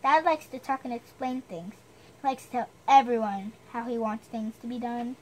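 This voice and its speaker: A woman's voice: a little high, moderate pace, low-quality recording. A woman reading a storybook aloud with chatty, self-deprecating asides, stumbling over the odd word.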